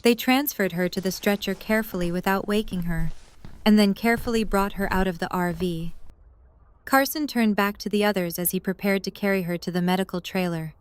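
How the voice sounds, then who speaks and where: A woman's voice: mid-range voice, fairly quick, smooth. A woman reading scripted third-person narration for an audio drama.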